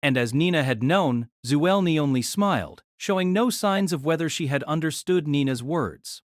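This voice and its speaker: A woman's voice: low-pitched, lively intonation, normal speed, dry recording, studio quality. A woman narrating a scripted, dramatized story as its narrator.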